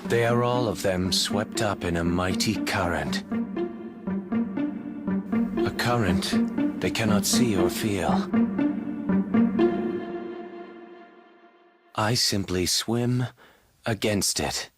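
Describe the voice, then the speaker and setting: A brooding young knight, speaks in a fairly low voice with measured, theatrical phrasing. He sounds cynical and guarded, in video game drama style, with music clearly behind him. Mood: resolute, brooding. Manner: measured, theatrical, formal archaic diction.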